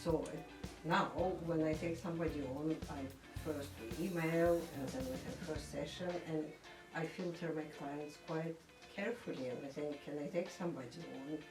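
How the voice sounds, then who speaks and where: A woman with a notably low voice gives careful, measured answers with pauses. The room adds a clear echo. Music plays plainly in the background. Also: fairly even intonation. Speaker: counsellor guest. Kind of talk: interview answers. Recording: echoey room with music behind.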